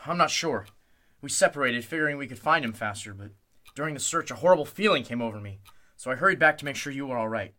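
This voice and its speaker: A man's voice: mid-range voice, animated, fast talker, bright, forceful tone. An actor playing a tense, protective man in the style of an old-time radio drama. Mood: uneasy. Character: Clint, tense protective man searching in fog.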